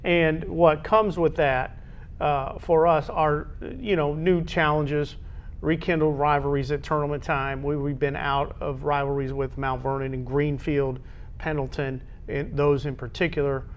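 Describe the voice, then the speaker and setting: An American man co-hosting a local school sports show, talking conversationally and haltingly, often repeating words as he goes. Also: fairly even intonation. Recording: dull lo-fi audio with low rumbling noise.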